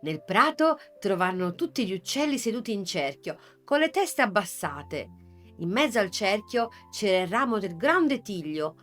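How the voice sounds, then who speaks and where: A woman narrating a children's fairy tale in a soft, measured way with dramatic pauses. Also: medium pitch. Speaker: storyteller. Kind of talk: gentle scripted story narration. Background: soft music.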